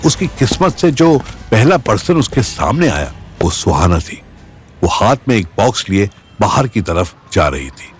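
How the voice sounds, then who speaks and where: A man narrating a Hindi audio story in a dramatic scripted style. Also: lowish voice.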